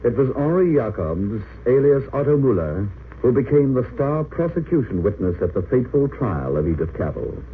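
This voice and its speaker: A man's voice: medium pitch, big pitch swings, moderate pace, natural voice. A man acting as a grave, declamatory narrator of a wartime tale in 1930s radio drama style. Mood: solemn, dramatic. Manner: solemn, declamatory. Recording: tinny, noisy old radio recording.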